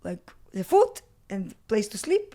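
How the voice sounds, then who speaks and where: A middle-aged woman with a Russian accent talks in a casual studio interview, her English halting as she hunts for words. She laughs easily. Her pitch sits in a middle range. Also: big pitch swings, mellow. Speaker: podcast guest, mountaineer. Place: home studio with bookshelves, indoors. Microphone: studio mic on stand, headphones.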